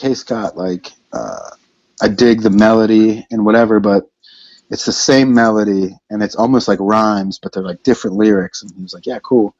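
Rambling low-voiced man on a podcast. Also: a little mellow, no echo, lo-fi sound. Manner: rambling, lots of fillers and restarts. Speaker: band member, vocalist and lyricist. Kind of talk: casual podcast interview.

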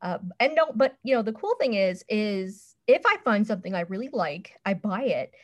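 A woman's voice: medium pitch, lively intonation, moderate pace. A woman on a podcast panel chatting casually in a candid, playful, self-deprecating way.

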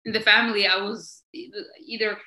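A woman from Somalia, a guest in an interview, speaking emphatically and animatedly, halting often on fillers and breaking into laughter. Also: mid-range voice, fairly even intonation, pushed and bright, reverberant, poor audio. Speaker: guest, member of parliament. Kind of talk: conversational interview answers.

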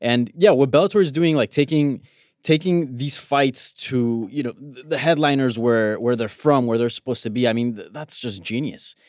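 Easygoing co-host, a native Spanish speaker. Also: male voice, medium pitch, normal speed, a little mellow, no echo, studio quality. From Colombia. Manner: easygoing, many fillers like you know. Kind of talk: casual MMA talk.

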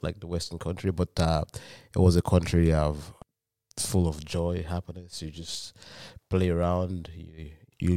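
Young Nigerian man, very low and dry, a podcast guest who answers in a halting way. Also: warm tone, no echo. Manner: halting, repeats words while searching for them. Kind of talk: casual interview answers.

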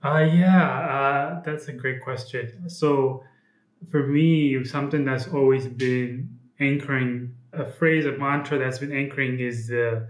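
A young man from Ethiopia talks as an interview guest, hesitant and full of restarts. His voice has a mid-range pitch. The recording picks up a roomy echo around him. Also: fairly even intonation, unhurried. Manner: hesitant, many fillers and restarts. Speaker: guest, comic book publisher. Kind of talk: casual interview.

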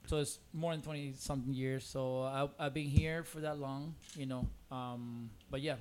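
A man in his forties from Costa Rica with a Cuban accent in English, a native Spanish speaker, chatting casually and haltingly as a podcast guest. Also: medium pitch, fairly even intonation, a little mellow, a little hiss or hum. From Costa Rica, born in Cuba. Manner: hesitant, rambling, many "you know"s. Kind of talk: casual conversational interview.

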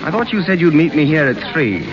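An actor voicing a jovial wealthy polo player in a 1930s radio drama, breezy and chummy. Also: male voice, a little high, sing-song, a little fast, muffled recording. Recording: scratchy 1930s radio broadcast.

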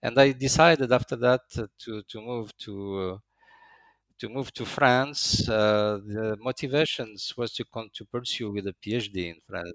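Halting older man, low dry voice. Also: no room sound. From Morocco. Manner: slow, halting, many uh fillers and restarts. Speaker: interviewee, engineering professor. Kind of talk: hesitant interview answers.

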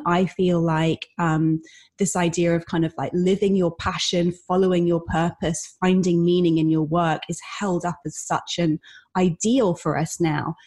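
A woman's voice: on the low side, unhurried, a little mellow. A woman hosting a podcast interview, talking in a reflective, rambling way with many fillers and restarts. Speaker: podcast host. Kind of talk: conversational interview.

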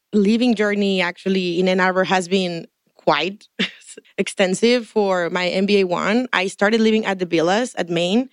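A woman's voice: mid-range voice, dry recording. Young woman, chatty guest, upbeat, dry close sound. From Tampico, Mexico. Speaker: guest, MBA student. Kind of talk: casual podcast chat.

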